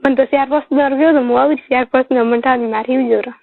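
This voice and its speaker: High-pitched woman on a muffled phone line. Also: steady tone, quick pace, creaky, a little hiss or hum. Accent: Rajasthani Marwari. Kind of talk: casual phone chat. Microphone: telephone. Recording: muffled low-fidelity phone call recording.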